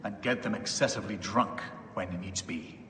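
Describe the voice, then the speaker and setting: Casting: male actor as arrogant, urbane Roman leader, lowish pitch, hall reverb, faint noise. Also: moderate pace, a bit bright, close to an everyday voice. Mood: wry, smug. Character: imperious middle-aged Roman ruler, urbane and arrogant. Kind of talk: dramatic video game cutscene acting.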